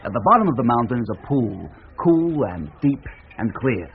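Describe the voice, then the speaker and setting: An actor playing an easygoing American hippie drifter with a folksy, hesitant way of talking in a naturalistic radio drama. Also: male voice, mid-range voice, big pitch swings, moderate pace, vocal fry. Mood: earnest, wistful. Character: easygoing drifting American hippie traveler, devoted to his partner. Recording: tinny, band-limited, noisy radio recording.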